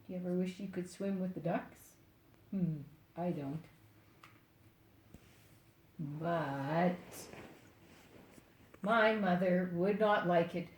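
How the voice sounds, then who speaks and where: Older female storyteller, unhurried picture-book read-aloud, playful and direct with children, echoey room. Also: on the low side, a little hiss or hum. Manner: deliberate, playful, addresses young listeners directly.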